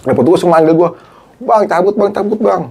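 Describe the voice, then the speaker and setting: A man's voice: high-pitched, fast, vocal fry, breathy edge. A middle-aged man, a former TV cameraman, telling a story in casual slang and acting out quoted dialogue. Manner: animated, acts out quoted dialogue, Jakarta slang.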